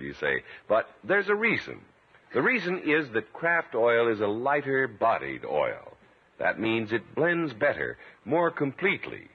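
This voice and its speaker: Husky, very animated male radio announcer. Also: medium pitch. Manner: emphatic salesman's delivery. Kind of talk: 1950s radio commercial and scripted narration. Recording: tinny old radio recording.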